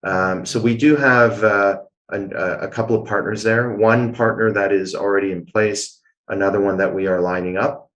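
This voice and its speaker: Low-voiced man explaining. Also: fairly even intonation, normal speed, a bit bright, muffled recording. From Canada. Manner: explanatory, frequent filler sounds. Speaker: guest, blockchain executive. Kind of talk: conversational interview answers.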